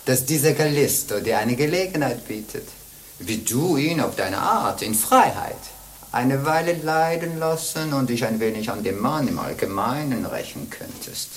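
A woman's voice: rumbling low, sing-song, moderate pace, a little hiss or hum. An actress in a German radio play playing a sly old witch go-between, coaxing and chuckling in a theatrical way. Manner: wheedling, chuckling, theatrical swings.

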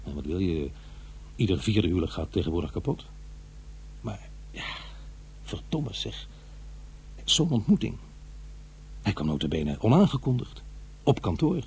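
An actor playing an uneasy, defensive middle-aged divorced father in a radio drama, confiding in a halting, conversational way. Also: low-pitched, very animated, a little mellow, slightly rough, heavily acted. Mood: agitated, rueful. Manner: halting, hesitant, self-interrupting. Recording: faint noise and hum.

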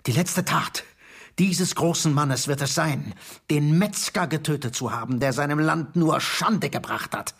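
An actor playing a boastful, seductive and fanatical Soviet security chief in a radio drama, theatrical in manner. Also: male voice, a little slow, slightly rough, full character voice. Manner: theatrical, swinging from booming to hushed.

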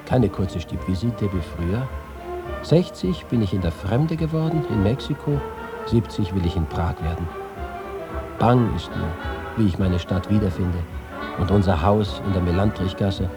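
An actor playing an ageing, nostalgic and proud reporter home from exile, speaking expressively in a literary radio-feature manner. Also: male voice, low voice, animated, moderate pace, music in the background. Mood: wistful, tender. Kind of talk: radio feature dramatic monologue.

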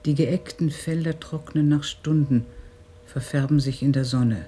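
An actor playing a quiet man who narrates a radio play in a calm, lyrical way. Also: fairly high pitch, a little slow, soft background music, close to an everyday voice. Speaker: secondary narrator.

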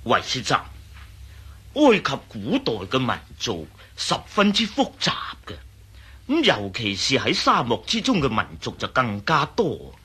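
A man playing an absent-minded, scholarly professor in a radio drama, hesitant and muttering, with a raspy, husky voice. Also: medium pitch, huge pitch swings, unhurried, sharp tone, full character voice. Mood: thoughtful. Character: absent-minded, scholarly male Egyptology professor, easily excited. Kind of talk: Cantonese radio drama acting.

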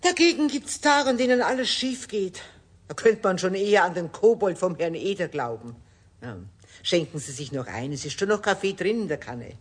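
A husky, blunt cook. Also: female voice, mid-range voice, expressive, normal speed. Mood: matter-of-fact. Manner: blunt, matter-of-fact, scolding. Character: down-to-earth, scolding female household cook. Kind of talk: 1970s children's radio play acting.

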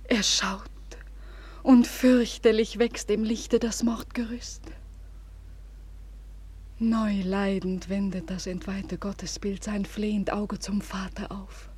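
An actress playing a despairing young woman in a 1940s German radio drama, with slow, breathy, solemn declamation on a noisy old recording. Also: medium pitch, flat delivery, a little mellow. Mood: anguished, visionary. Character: despairing young woman bidding farewell before suicide.

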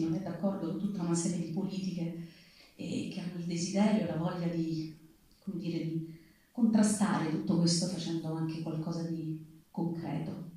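A woman's voice: fairly low pitch, warm tone. A woman speaking live from a theatre stage as host and reader, addressing a public gathering. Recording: very echoey hall, distant microphone.

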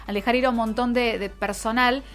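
High-voiced Argentine woman hosting radio. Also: steady tone, a little fast. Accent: Argentine Spanish. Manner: seeks agreement with frequent tag questions. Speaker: radio co-host and interviewer. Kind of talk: conversational radio commentary and interviewing. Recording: music playing behind the voice.

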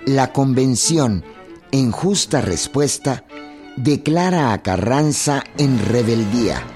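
A male narrator of a historical radio drama speaks slowly and solemnly in a medium-pitched voice. String music plays clearly behind him. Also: expressive.

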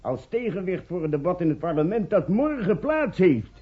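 An actor playing a bossy, cynical newspaper editor in a radio play, curt and commanding, on an old recording. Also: male voice, sits high, very animated, moderate pace, breathy edge, dull audio, exaggerated voice. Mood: insistent, instructing. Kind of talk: Dutch radio play acting.

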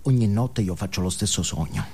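A man's voice: sits low, animated, a little fast, a little mellow. An actor playing a bitter, foul-mouthed male drug addict, ranting crudely in a theatrical comic monologue. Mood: sullen, bitter. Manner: ranting, crude, swinging from mutter to shriek. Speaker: stage actor performing monologues.

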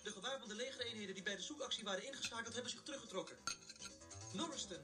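A man acts a relentlessly upbeat early-morning DJ in a radio play. The voice is extremely high and quick as it reels off news. Loud music runs along with it. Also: close to an everyday voice. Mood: chirpy, upbeat. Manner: chirpy, brisk. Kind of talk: radio DJ news patter in a drama.

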